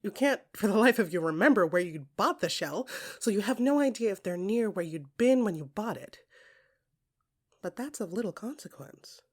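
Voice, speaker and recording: Husky, hushed woman storyteller. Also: mid-range voice. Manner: hushed, often dropping to a whisper, with deliberate pauses; expressive, swinging from soft musing to emphatic bursts. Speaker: narrator and performer of an audio fiction podcast. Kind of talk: intimate second-person dramatic storytelling.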